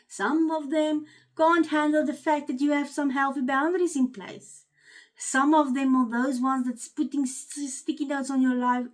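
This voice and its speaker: Earnest Afrikaans-accented woman, fairly high. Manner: earnest and candid, with frequent "um" fillers and restarts. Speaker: life coach and channel host. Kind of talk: personal motivational talk to camera.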